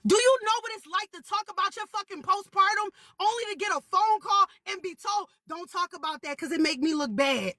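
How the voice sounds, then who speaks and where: Shouting woman with a very high, sharp voice. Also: animated, a little fast, hardly any pauses, no room sound. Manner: shouting, profane, repetitive. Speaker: livestream guest. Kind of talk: heated livestream rant.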